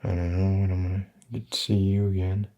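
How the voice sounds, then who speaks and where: A man playing a gruff but tender, weary middle-aged father figure in a soft-spoken ASMR roleplay, with a husky voice and a gentle, halting drawl. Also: flat delivery. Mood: tender, hesitant. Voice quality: husky, low.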